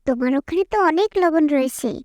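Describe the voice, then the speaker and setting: Very high-voiced, earnest little village girl. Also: expressive, quick pace, dry recording, studio quality. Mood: earnest, sweet. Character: earnest, sensitive little village girl, devoted daughter and sister. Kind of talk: cartoon character acting.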